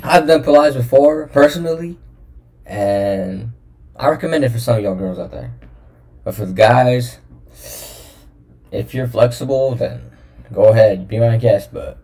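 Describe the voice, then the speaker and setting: Gravelly laid-back young man. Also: medium pitch, measured pace, pauses often, mellow. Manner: laid-back, joking, slangy. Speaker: reaction video host. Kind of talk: casual reaction commentary. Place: small room indoors.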